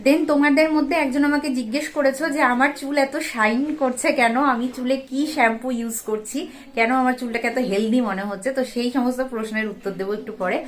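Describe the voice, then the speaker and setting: A woman's voice: a little high, steady tone, fast talker. A young woman with a West Bengali accent narrates a casual daily vlog, talking to viewers in a chatty, familiar way and mixing in English words. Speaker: vlogger.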